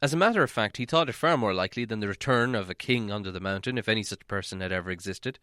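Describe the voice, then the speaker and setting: A man reading a fantasy story as an audiobook narrator, dramatic and expressive. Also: fairly low pitch, quick pace, clean tone, no echo, clean studio sound.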